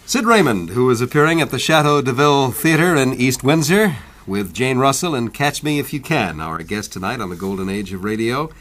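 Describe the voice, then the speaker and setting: A man presents a radio interview program. He has a medium-pitched voice and speaks in a conversational hosting style. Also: lively intonation, fairly quick, few pauses, fairly bright tone. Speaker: radio host. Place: radio studio.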